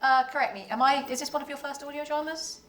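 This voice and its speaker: Woman, panel moderator, high pitch, fast pace, casual questioning, echoey live room. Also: a bit bright. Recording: roomy, echoing live panel sound.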